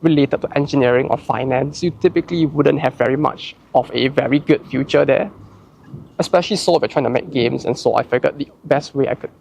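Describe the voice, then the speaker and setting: Young Singaporean-accented man, gravelly tone, rambling, loose vlog delivery full of "like". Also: mid-range voice, a little fast, a little mellow, a little hiss or hum. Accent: Singaporean English. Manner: rambling, heavy use of "like", frequent restarts. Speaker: channel host answering viewer questions. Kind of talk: casual chat.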